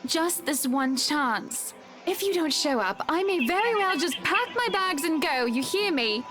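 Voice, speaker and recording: A woman's voice: high voice, big pitch swings, a little slow, noisy background. A woman playing a proud, wary young wife in a visual novel, cool and commanding in her scripted delivery. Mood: stern, guarded.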